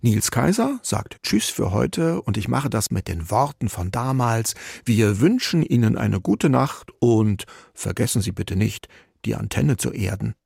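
A man narrates as a radio presenter from a script. His voice is very gravelly and husky, recorded dry with hardly any room around it. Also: mid-range voice, big pitch swings, measured pace, darkish tone, no room sound.

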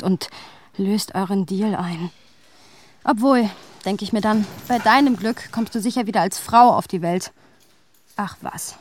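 An actress playing a reflective, wry first-person narrator in a scripted literary radio play. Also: female voice, mid-range voice, a little hiss or hum, natural voice.

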